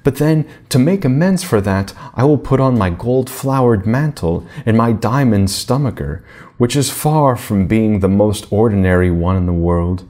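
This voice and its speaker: A man's voice: fairly low pitch, moderate pace, warm tone, lightly acted. A man voicing vain, haughty young stepsisters in a storybook fairy tale, boastful in manner.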